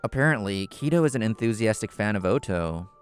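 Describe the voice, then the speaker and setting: A man narrating a scripted story in the first person, in a measured, explanatory manner. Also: on the low side, lively intonation, clean tone, faint music behind. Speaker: narrator and main character of a manga video.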